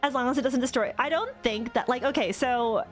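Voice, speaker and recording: A woman's voice: high voice, a little fast. A young woman from Australia putting on an American Valley-girl accent as she chats casually on a livestream, often saying "like". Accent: put-on American. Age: young adult. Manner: Valley-girl delivery, full of "like". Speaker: VTuber streamer. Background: music.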